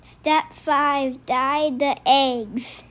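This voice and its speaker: A woman voicing a patient but exasperated rabbit instructor from a picture book, speaking in deliberate, halting steps. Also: sits high, fairly even intonation, fairly bright tone, muffled recording, a little hiss or hum, full character voice. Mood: instructive.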